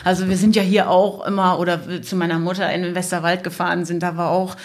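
A woman's voice: lowish voice, level tone, quick pace. A middle-aged woman chatting casually as a podcast guest. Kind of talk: casual chat.

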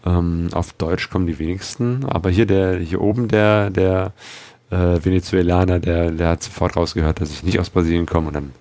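Deep-voiced German man rambling on a travel vlog. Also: fairly even intonation, moderate pace, a little mellow, no echo. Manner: rambling, many fillers and restarts. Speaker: motorcycle travel vlogger. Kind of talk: casual travel vlog talk.

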